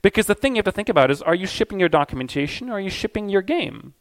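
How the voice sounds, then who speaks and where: A middle-aged man giving an energetic, conversational conference talk on stage in a loud voice. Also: sits high, very fast. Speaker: conference speaker.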